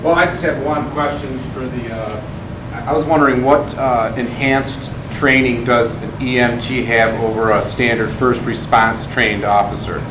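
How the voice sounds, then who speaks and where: Male resident; hesitant question; reverberant, rumbly room. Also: steady tone, moderate pace. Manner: hesitant, searching for words. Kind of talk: public comment. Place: city council chamber. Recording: echoey hall with rumble.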